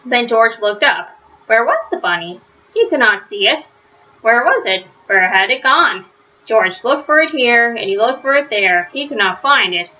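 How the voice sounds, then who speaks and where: A woman reading a children's story aloud, phrasing it simply and deliberately and stressing words for young listeners. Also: fairly high pitch, animated, sharp tone, dull audio. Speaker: storybook reader. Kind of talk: children's read-aloud narration.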